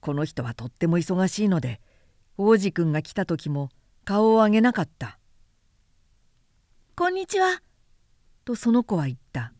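A woman's voice: fairly low pitch, lively intonation, moderate pace, mellow, dry recording, clean studio sound. A woman narrator giving a literary storybook reading.

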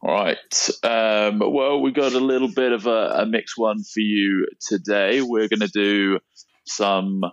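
A man's voice: fairly low pitch, measured pace, no echo. A man co-hosting a podcast in casual chat, laughing often and reading out race news. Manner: laughs often, relaxed and chatty. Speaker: podcast co-host. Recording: dull lo-fi, dry sound.

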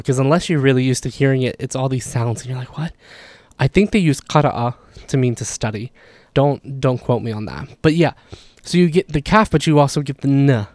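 Guy talking through grammar stuff, chatty, keeps apologizing for himself, mid-pitch, dry close mic. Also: a little mellow, dry recording. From Levantine, Palestinian or Jordanian Arabic background. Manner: chatty, self-deprecating, apologetic asides. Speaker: language educator. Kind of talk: casual explanatory monologue.

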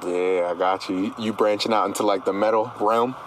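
A man's voice: on the low side, fairly even intonation, a little fast. Enthusiastic male host, noisy recording. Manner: enthusiastic, affirming, informal. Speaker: radio show host interviewing a musician. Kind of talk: casual, slangy interview.

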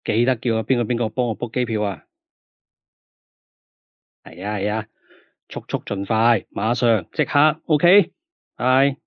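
A man's voice: mid-range voice, a little slow, pauses often, lo-fi sound, close to an everyday voice. A man with a Hong Kong Cantonese accent playing a flippant, bossy aging male film star in a radio drama. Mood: exasperated. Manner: exasperated, glib, bossy. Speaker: actor character on the phone.

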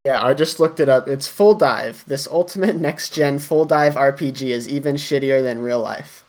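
A man co-hosting a relaxed podcast chat at an ordinary middle pitch. He rambles and restarts often, padding his talk with fillers as he explains things. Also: moderate pace. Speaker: podcast co-host.

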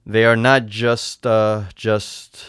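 A man voicing an eager, naive, lovestruck customer in a storybook tale, earnest and excitable. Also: fairly low pitch, slow, clean tone, no echo, clean studio sound. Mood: hesitant. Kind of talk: storybook character voice in a read-aloud tale.

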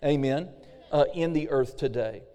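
A middle-aged male pastor preaching a fervent sermon with frequent amens, his voice slightly hoarse and a little raspy. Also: on the high side, unhurried, warm tone. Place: church sanctuary. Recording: long hall reverb.

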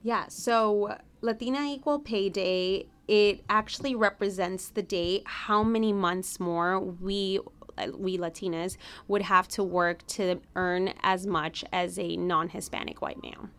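Smooth-voiced woman, reflective and halting. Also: medium pitch, fairly even intonation, fairly bright tone. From United States. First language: Spanish. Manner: reflective, with frequent restarts and fillers. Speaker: guest. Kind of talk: casual conversation.

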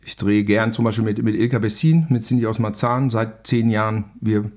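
A man's voice: on the low side, steady tone, moderate pace, a little mellow. A man talking casually as a podcast guest, telling rambling, self-deprecating anecdotes. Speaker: podcast guest, film director and radio play writer. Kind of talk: casual chat.

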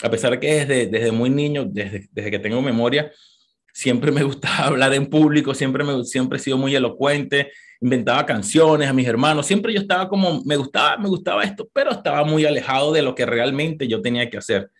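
A man in his thirties with a Venezuelan accent, giving an emphatic motivational talk to an audience. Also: brisk. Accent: Venezuelan Spanish. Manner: emphatic, rising to high passionate peaks; repeats words, talks straight to the listener. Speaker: motivational speaker.

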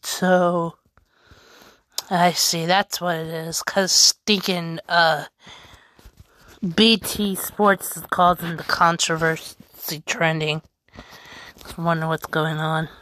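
A woman hosting a wrestling fan podcast, chatting casually in a halting way with lots of fillers. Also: fairly low pitch, fairly even intonation, a bit bright, no echo. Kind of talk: casual chat reading news and tweets.

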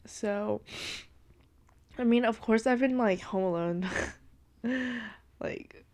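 A woman's voice: on the high side, very slow, a little mellow. Congested young woman chatting casually. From Washington state, US. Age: young adult. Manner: rambling, full of "like" and "literally", with giggles. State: congested from allergies. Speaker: podcast host. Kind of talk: casual chat. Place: her dark bedroom at night.

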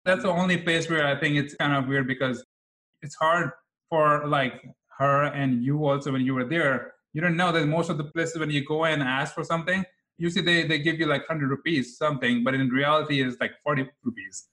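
A man's voice: fairly high pitch, steady tone, brisk, sharp tone, poor audio. A man co-hosting a casual interview, explaining things plainly and laughing along.

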